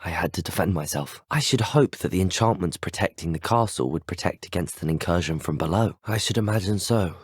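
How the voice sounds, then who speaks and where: Well-spoken young wizard hero. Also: male voice, low-pitched, fairly quick, hardly any pauses, breathy edge, no echo, studio quality. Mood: earnest. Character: earnest, brave teenage student wizard hero, well-spoken. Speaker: video game voice actor. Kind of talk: video game dialogue clips.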